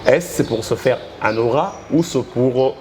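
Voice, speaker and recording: Male talk-show host, halting questions with fillers, noisy recording. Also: medium pitch, a little mellow. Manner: probing, halting with many fillers. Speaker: interviewer host. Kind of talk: political interview.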